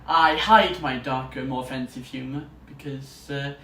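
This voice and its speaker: A man's voice: mid-range voice, steady tone, normal speed, bright, forceful tone. A young man, a student vlogger, chatting casually in an enthusiastic, rambling way. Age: young adult. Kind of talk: casual vlog to camera. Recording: roomy echo, distant mic, faint noise.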